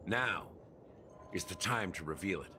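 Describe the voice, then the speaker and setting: An actor playing a world-weary, enigmatic middle-aged man in a video game, speaking in a measured, wry and reflective way. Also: low voice, animated, background noise. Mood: solemn. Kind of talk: dramatic game dialogue.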